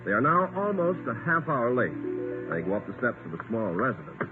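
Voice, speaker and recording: A male announcer reads scripted narration with lively intonation. The broadcast is old and thin-sounding, with music clearly behind him. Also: mid-range voice, fairly quick, narrow, tinny audio. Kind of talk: scripted 1950 radio narration. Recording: thin vintage radio broadcast.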